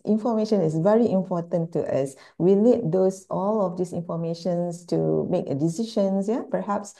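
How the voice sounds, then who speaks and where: A woman's voice: fairly low pitch, creaky, dark tone. A woman with a Malaysian accent giving a conference presentation, explaining things step by step with repetition and rhetorical questions. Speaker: university speaker at an online conference. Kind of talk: plenary presentation, lecture.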